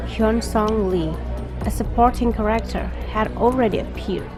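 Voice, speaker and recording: A woman with a medium-pitched voice delivers scripted first-person narration for an audio drama. Her telling is dramatic. Music plays prominently behind her.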